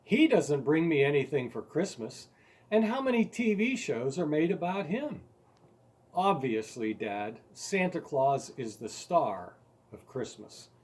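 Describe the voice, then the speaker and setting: A man's voice: fairly high pitch, very animated, a little slow, breathy edge, full character voice. Man reading as a stubborn, indignant little boy. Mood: loud, determined. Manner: insistent, pleading, growing louder and more emphatic. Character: stubborn, indignant eight-year-old boy in a storybook.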